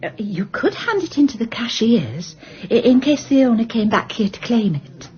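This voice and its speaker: An actress playing a lonely, credulous nurse in a radio drama, speaking in an earnest, polite and eager way. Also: female voice, fairly high pitch, sing-song, normal speed, darkish tone, lo-fi sound. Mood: polite, friendly. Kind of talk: British radio drama acting.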